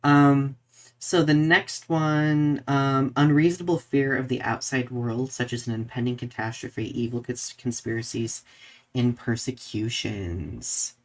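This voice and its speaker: A man co-hosting a live podcast in casual discussion, halting and peppering his talk with "like" and questioning tags. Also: medium pitch, steady tone. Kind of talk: casual discussion, reading viewer comments.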